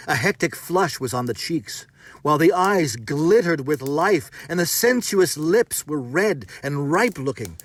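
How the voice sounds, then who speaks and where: A man narrating a first-person gothic horror story in a measured, theatrical, expressive manner. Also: a little high, no room sound. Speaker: audiobook narrator. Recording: music under the voice. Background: music.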